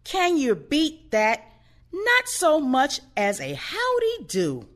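A woman playing a blunt, sardonic, protective older woman in an audio play, outspoken and expressive. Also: high voice, takes it slowly, heavily acted.

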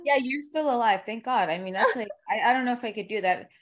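A woman's voice: fairly high pitch, animated, quick pace. A woman hosting a casual interview, chatting in an informal, rambling and joking way. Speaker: interviewer, podcast host.

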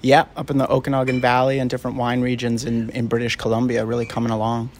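A man from Canada, a chef being interviewed on radio, speaking in a relaxed way with many hesitations. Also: medium pitch, a little hiss or hum. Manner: relaxed, halting with many fillers.

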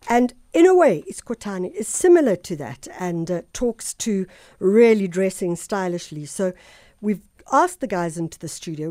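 A woman hosts a radio show, moving briskly from question to question. Her voice is mellow and warm. The studio sound is close and dry. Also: lowish voice, no echo. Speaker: radio host. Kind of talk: radio interview.

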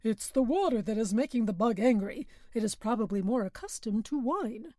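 A woman's voice: fairly high pitch, animated, normal speed, warm tone, no echo, clean studio sound. A woman voicing a jovial village joker man who teases in a boisterous way. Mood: mocking. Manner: teasing, boisterous.

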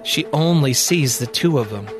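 A man's voice: mid-range voice, animated, moderate pace, music in the background. A man narrating a scripted, dramatic science-fiction story as a podcast storyteller.

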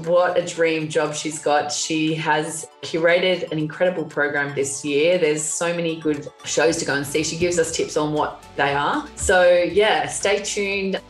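Upbeat host, low voice, roomy. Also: female voice. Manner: upbeat, enthusiastic, gushing. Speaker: podcast host. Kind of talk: podcast intro and interviewing. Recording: roomy echo, faint music.

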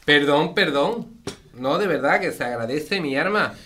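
An actress playing a sharp-tongued old Andalusian grandmother with a folksy, teasing way of talking. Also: sits low, lively intonation. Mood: grumbling. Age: older. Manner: folksy, quick-witted, teasing. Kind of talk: comic radio-style family sitcom.

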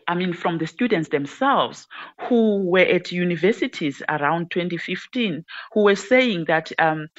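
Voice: mid-range voice, a bit bright. Earnest academic guest speaker. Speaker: guest, senior lecturer in language studies. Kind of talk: radio interview answer. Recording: somewhat dull audio.

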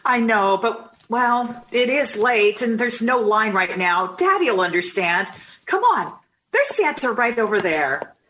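A woman playing a gentle, coaxing 1950s mother of two in an old-time radio drama. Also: a little high, lively intonation, a little slow, a little hiss or hum.

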